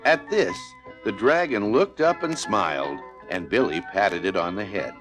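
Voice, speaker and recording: A man's voice: lowish voice, expressive, a little slow, poor audio. A man narrating a children's read-along storybook in a scripted style. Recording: music playing behind narration.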